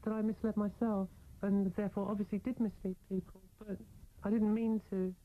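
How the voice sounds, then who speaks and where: A woman guest in a radio interview, talking candidly and reflectively in a level voice that moves little in pitch. The recording is thin and phone-like, like an old aircheck. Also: level tone, a little fast. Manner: reflective, explanatory.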